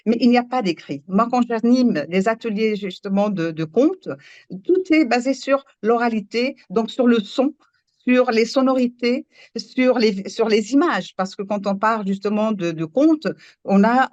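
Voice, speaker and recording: A woman who grew up in Morocco, a storyteller whose mother tongue is Moroccan Arabic, talks thoughtfully and pauses to find her words. Her voice comes through a remote link that sounds muffled and very dry, with no room echo. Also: on the high side, darkish tone. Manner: earnest, searching for words, reflective. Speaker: storyteller and workshop leader. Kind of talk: panel discussion. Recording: dull dry remote audio.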